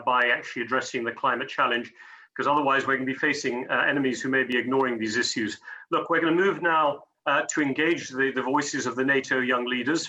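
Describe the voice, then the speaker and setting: A man moderating a panel, thanking the speaker and moving briskly to the next segment. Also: medium pitch, sharp tone. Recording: dull lo-fi audio.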